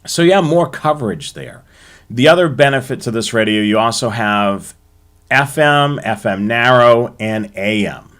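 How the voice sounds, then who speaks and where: An American man hosting a hobby show, presenting to viewers in a halting way with frequent ums and uhs. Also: mid-range voice, animated, fairly bright tone.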